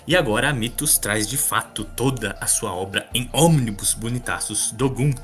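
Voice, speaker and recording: A man's voice: medium pitch, normal speed, fairly bright tone, soft background music. A man with a Brazilian accent hosting a comics podcast, chatting casually and colloquially with amusement. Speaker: podcast host.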